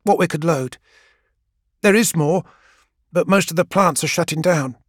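Man playing an earnest, pleading young woman; higher, raised voice, dry audiobook sound. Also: normal speed, no room sound, close to an everyday voice. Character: young woman wagon guide, earnest and tearful.

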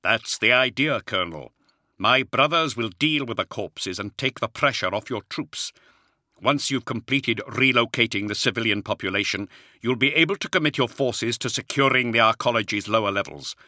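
A man voicing a commanding, grim warrior leader in an audiobook, giving curt, measured orders. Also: medium pitch, sharp tone, dry recording, clean studio sound, pitched above the performer's own voice. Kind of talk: audiobook character acting.

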